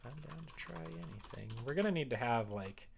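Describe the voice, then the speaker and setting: A man's voice: mid-range voice, lively intonation, a little fast, gravelly, a little hiss or hum. A man streaming casually, talking informally and muttering to himself as he works. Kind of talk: casual livestream chat while coding a visual novel.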